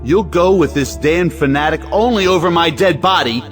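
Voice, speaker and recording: An actor playing a domineering, hot-tempered moralizing brother in an audio play, commanding and blustering. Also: male voice, a little high, normal speed, faint music behind, natural voice. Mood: outraged. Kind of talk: audio play acting of an old stage drama.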